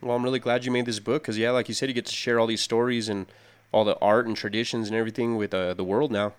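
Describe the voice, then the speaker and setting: Quick, smooth-voiced male radio host. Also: medium pitch, little pitch movement. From southern Colorado. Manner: friendly, encouraging interviewer. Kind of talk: interview questions.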